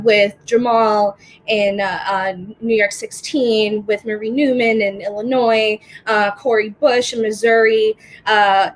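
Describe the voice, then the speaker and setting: A woman's voice: medium pitch, low-quality recording. A young woman who grew up in the US after being born in the Dominican Republic, speaking earnestly as a panelist with lots of ums over a video call. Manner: warm, earnest, many fillers. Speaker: panelist, organizer. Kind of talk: casual spoken remarks.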